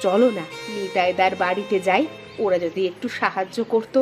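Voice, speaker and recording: A woman's voice: medium pitch, steady tone, normal speed, lightly acted. A woman voicing a weary, homeless village wife in a moral-tale cartoon, plaintive and nagging. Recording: music with strings behind the voice.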